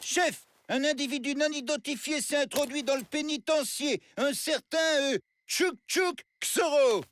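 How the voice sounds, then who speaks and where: Very high-voiced bumbling underling. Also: male voice, a little slow, fairly bright tone, dry recording. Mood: earnest. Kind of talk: cartoon voice acting. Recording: music behind the voice.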